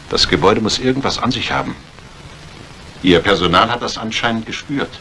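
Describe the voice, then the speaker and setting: Casting: a courteous but faintly sinister physician, low and suave, in a slightly noisy old thriller. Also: male voice, a bit bright. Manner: measured, polite, suave. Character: urbane, subtly ominous country doctor. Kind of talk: 1950s German dubbed thriller acting. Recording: faintly noisy vintage soundtrack.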